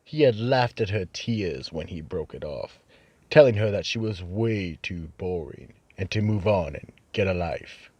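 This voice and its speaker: A man giving ominous, measured narration for a horror radio show. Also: low-pitched, lively intonation, darkish tone, husky, no echo.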